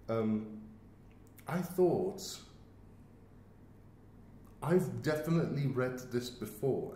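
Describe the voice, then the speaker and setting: A man's voice: medium pitch, darkish tone, slight background noise. A man co-hosting a podcast, chatting casually about audio plays. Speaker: podcast co-host. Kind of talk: casual discussion of radio plays. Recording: echoey room.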